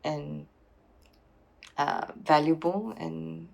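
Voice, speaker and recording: A woman's voice: deep voice, drawn-out words, creaky, darkish tone, poor audio. A woman, a curator answering interview questions reflectively, with many restarts and fillers. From Singapore. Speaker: curator and PhD researcher.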